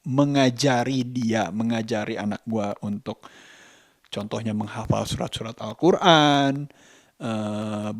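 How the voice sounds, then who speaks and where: A man's voice: on the low side, no room sound, clean studio sound. A man talking reflectively in a casual vlog, hesitant and often searching for words. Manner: hesitant, halting, searching for words with repeated phrases. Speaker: vlogger and host.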